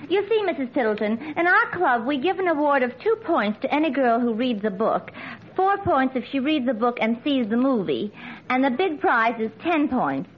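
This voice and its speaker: High-voiced brassy New dame. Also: female voice, sing-song, normal speed, husky, heavily acted. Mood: affected, bossy. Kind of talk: 1940s radio comedy acting. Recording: dull old radio recording, studio audience.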